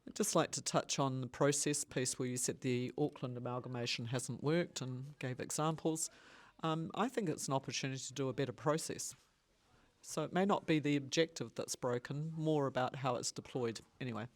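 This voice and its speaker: Mid-pitched New man, polite. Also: steady tone, normal speed. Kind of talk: public forum comment.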